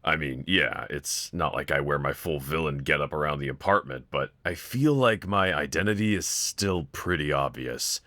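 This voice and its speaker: A man's voice: extremely low pitch, moderate pace, few pauses, bright, forceful tone, no room sound, exaggerated voice. A man voicing a wanted young male villain in a fan audio roleplay, talking to one listener in a casual, sardonic, teasing drawl. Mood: incredulous, sardonic.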